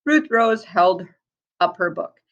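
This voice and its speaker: A woman reading a storybook aloud like a teacher, with deliberate, expressive delivery and asides to her young listeners. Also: medium pitch, lo-fi sound.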